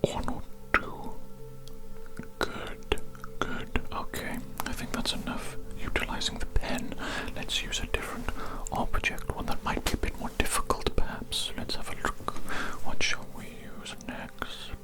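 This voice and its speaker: ASMR doctor roleplay: hushed whisper, unhurried delivery, formal and repetitive clinical wording. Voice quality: soft unvoiced whisper. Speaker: ASMR performer playing a doctor giving a hearing test.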